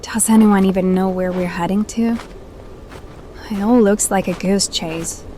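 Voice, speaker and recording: A woman's voice: mid-range voice, steady tone, unhurried, warm tone, lightly acted. A woman playing a young, impatient hireling in an audio drama, complaining and questioning. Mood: grumbling, cold. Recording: clear background noise.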